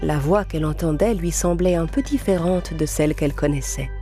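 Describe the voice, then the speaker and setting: A woman tells a children's story in a slightly low voice. She narrates from a script, with music clearly playing behind her. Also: brisk, mellow.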